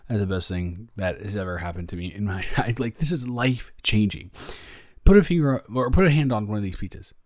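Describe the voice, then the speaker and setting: A young man playing a caring, flustered roommate in an intimate roleplay audio, rambling and stammering as he gently reassures a friend. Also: fairly low pitch, fairly quick, no echo, studio quality, close to an everyday voice. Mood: chatty, upbeat. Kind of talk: improvised intimate roleplay audio, one-sided conversation.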